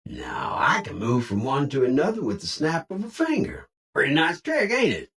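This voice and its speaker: A man's voice: a little high, very animated, normal speed, fairly bright tone, recorded from a distance. A folksy cowboy robot from a video game, with an American cowboy drawl that swoops wildly up and down. He is hearty and showy, full of Old West slang, and sounds a little off the mic. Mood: chummy, boastful. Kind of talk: video game character lines.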